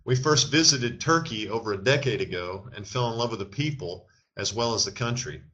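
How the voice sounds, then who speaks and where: A middle-aged man from Texas with a Texan accent, a travel agency owner reading a smooth promotional message without fillers. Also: on the low side, a little fast, fairly bright tone.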